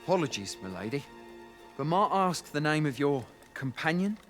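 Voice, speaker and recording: An actor playing a flustered, deferential castle guard in a video game. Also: male voice, a little high, big pitch swings, moderate pace, fairly bright tone, faint music behind. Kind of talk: video game cutscene acting.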